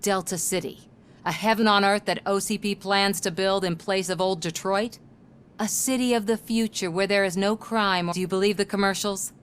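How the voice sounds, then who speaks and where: An actress playing a sardonic, determined investigative reporter in a video game, speaking in a wry, pointed way. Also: female voice, mid-range voice, fairly even intonation, moderate pace, clean tone, natural voice. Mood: skeptical, sardonic.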